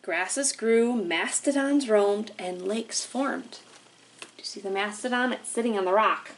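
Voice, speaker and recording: A woman's voice: mid-range voice, unhurried. A woman hosting library storytime, reading aloud and explaining things expressively to young children, often checking in with them.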